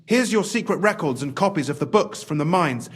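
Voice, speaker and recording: A scheming, excitable game official with a high voice that swings dramatically. He talks in wordy, exclamatory bursts with a conspiratorial, pragmatic air. The tone is husky, over faint music. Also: fairly quick. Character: cunning, excitable scheming male mine official, smugly pragmatic. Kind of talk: video game cutscene acting.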